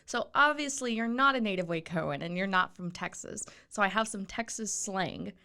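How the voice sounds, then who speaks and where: A woman's voice: medium pitch, normal speed, vocal fry, bright, forceful tone, clear, resonant tone. A woman hosting a local podcast, upbeat and chatty, laughing easily as she talks with a guest. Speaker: podcast host. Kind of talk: casual interview chat.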